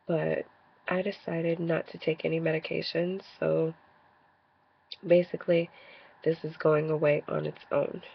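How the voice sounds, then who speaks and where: A woman's voice: deep voice, flat delivery, unhurried, creaky, pauses often, breathy edge, dull audio. A woman in her thirties with a cold and a stuffy nose, talking frankly and a little defensively in a rambling casual video rant. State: congested from a cold. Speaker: vlogger.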